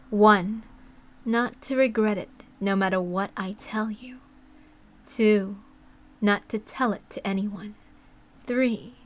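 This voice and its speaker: A woman voicing a sly, secretive adult woman in a story reading, teasing in a drawn-out, roundabout way. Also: mid-range voice, close to an everyday voice. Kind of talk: dramatic reading of a visual novel.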